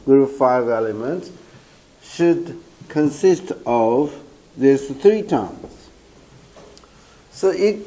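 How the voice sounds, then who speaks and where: Older male professor, Korean accent, hoarse with a cold, slow and halting, filler-heavy lecture delivery; distant camera in a classroom. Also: mid-range voice, dark tone. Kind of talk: university lecture. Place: lecture room. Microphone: far camera.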